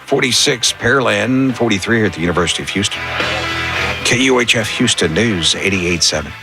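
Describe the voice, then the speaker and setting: Low male radio announcer over music. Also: lively intonation, fairly quick. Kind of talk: weather and station announcement. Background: music bed.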